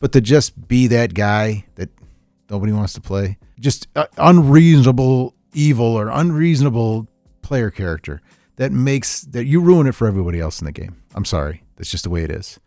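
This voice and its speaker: A man's voice: medium pitch, expressive, moderate pace, mellow, no echo, studio quality, soft background music. A man hosting an unscripted podcast, talking casually in a rambling, opinionated way. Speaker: podcast host.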